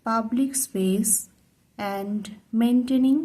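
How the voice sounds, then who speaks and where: A woman tutor reading a model letter aloud as a lesson, in deliberate word-by-word, dictation-style phrasing. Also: a little high, dark tone, rough recording. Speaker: English tutor.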